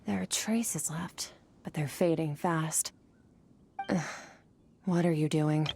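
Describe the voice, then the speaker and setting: A woman playing a cold, powerful, haughty young warrior in a video game, deadpan and measured, with music behind her. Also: low voice, a little hiss or hum. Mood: solemn, then aloof, annoyed. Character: aloof, powerful young female warrior, cold and matter-of-fact. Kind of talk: video game character voice acting. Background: background music.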